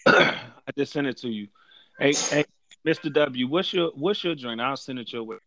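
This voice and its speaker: A man's voice: mid-range voice, unhurried, pushed and bright, poor audio. A man co-hosting a podcast, talking casually and rambling with many repeats. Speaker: podcast co-host. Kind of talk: casual banter.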